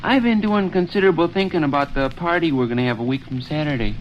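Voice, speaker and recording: A man's voice: fairly high pitch, fast, lo-fi sound, close to an everyday voice. Eager schoolboy played by a man, old noisy radio. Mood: tentative. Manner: earnest, persuasive, folksy boyish talk. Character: eager schoolboy son scheming to host a party. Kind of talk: 1930s radio comedy acting. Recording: noisy 1930s radio recording.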